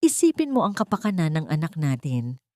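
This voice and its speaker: Woman voicing a softly coaxing, falsely kind husband. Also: low-pitched, big pitch swings, fairly quick, no room sound, studio quality. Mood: falsely tender. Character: coldly manipulative husband, feigning soft, tender concern. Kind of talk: audio drama storytelling.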